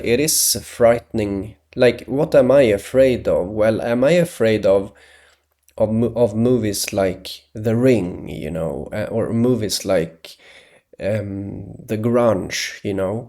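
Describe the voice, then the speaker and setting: A man from Sweden, talking hesitantly in a rambling solo monologue, with many pauses and restarts. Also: on the low side, gravelly, a little mellow. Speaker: video blogger.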